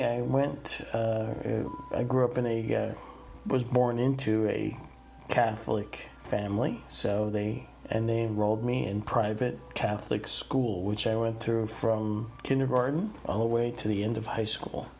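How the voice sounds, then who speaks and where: A man in his fifties, raised in the northeastern United States and first speaking Italian, tells his own story on a podcast. His voice is gravelly and his speech slow and unhurried, rambling along with fillers and restarts. A faint hiss sits under the recording. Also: mid-range voice, fairly even intonation, darkish tone. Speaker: podcast host. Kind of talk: personal storytelling monologue.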